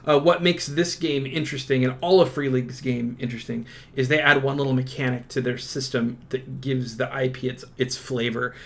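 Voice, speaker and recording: Male presenter for casual unboxing commentary, average-pitched, enthusiastic and informal, with a halting delivery. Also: steady tone, gravelly. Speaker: channel presenter.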